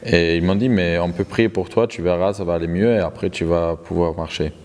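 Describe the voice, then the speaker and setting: A man's voice: sits low, fairly even intonation, fairly quick, a little mellow. A man interpreting a testimony live, hesitant, repeating and rewording phrases as he goes. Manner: hesitant, repeats and reformulates words. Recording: long hall reverb.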